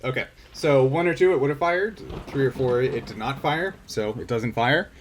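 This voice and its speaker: A man running a tabletop game, narrating and explaining rules casually in a halting, think-aloud way. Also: medium pitch, slight background noise. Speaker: game master.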